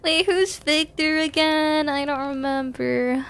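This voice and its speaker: A woman's voice: high voice, slow pace, fairly bright tone. A woman game streamer, moving between reading game narration aloud and casual live chat.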